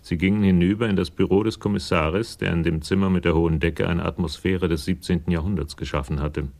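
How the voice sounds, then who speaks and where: A man's voice: deep voice, steady tone, quick pace, creaky, darkish tone. A male actor narrating a German radio crime drama in a detached, dry, literary storytelling style.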